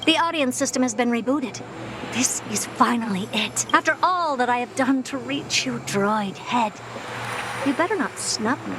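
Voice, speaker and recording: An actress playing a haughty, theatrical genius scholar in a video game, pompous, mocking and self-assured. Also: female voice, fairly high pitch, expressive, normal speed, background noise, natural voice. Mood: determined. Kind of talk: scripted video game voice acting.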